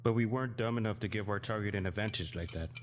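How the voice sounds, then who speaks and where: An actor playing a tough, exacting agent and trainer in an audio drama, talking bluntly. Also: male voice, lowish voice, quick pace, natural voice. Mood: dry, curious. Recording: hall reverb, clearly noisy background.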